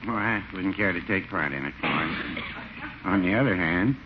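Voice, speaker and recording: A man's voice: deep voice, expressive, normal speed. An actor playing a mild, polite, hesitant older man in a 1940s radio comedy. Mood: mild, prim. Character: mild, prim, meek older small-town man. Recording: scratchy, muffled 1940s radio recording.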